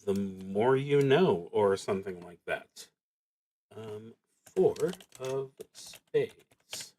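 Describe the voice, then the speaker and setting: A man narrating a solo tabletop game, reading cards aloud and thinking out loud in a casual, wry way. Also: fairly low pitch, extremely slow. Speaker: solo roleplaying game streamer.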